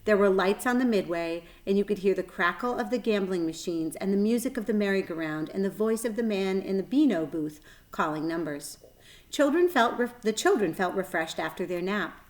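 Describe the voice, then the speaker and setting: A woman's voice: medium pitch, lively intonation, brisk. A woman reading a children's story aloud as narrator, with expression.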